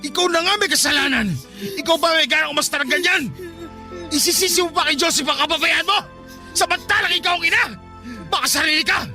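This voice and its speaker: An actor playing a grieving, furious father who shouts and sobs accusations at his wife. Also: very high-pitched, a little fast, faint music behind, full character voice. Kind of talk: audio drama acting.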